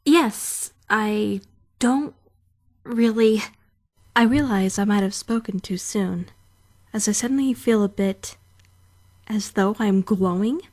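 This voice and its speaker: A woman's voice: medium pitch, slow pace, pauses often, a little mellow. A woman voicing a prim, socially awkward young trainee who narrates a visual novel fan dub in formal, bookish language. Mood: composed, unsure.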